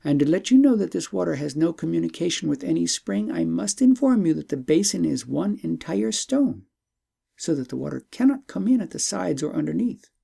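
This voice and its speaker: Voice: medium pitch, big pitch swings, a little fast, gravelly, warm tone, slightly rough, pitched above the performer's own voice, full character voice. A poised young princess, acted out by a narrator speaks with formal courtesy. The lines lilt upward and dip again, respectful yet proud, in classic storybook fashion. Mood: courteous, proud.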